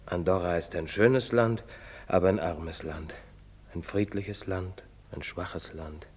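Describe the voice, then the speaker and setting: An actor playing a pious, fatherly village priest who admonishes gently in a preacherly way. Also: deep voice, takes it slowly, a little hiss or hum. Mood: admonishing. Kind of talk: stage play recording. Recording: long hall reverb.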